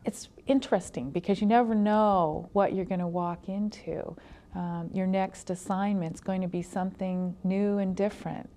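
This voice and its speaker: A woman college instructor explaining her field in an interview in a measured, deliberate way. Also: medium pitch, fairly even intonation, dark tone.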